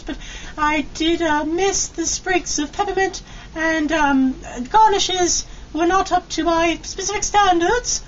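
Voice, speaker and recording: A woman playing a fussy, snobbish duchess in a comic radio drama, haughty and halting as she speaks. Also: extremely high pitch. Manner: haughty, halting, prim complaints. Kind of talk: comic old-time radio acting. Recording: muffled, distant, hissy amateur recording.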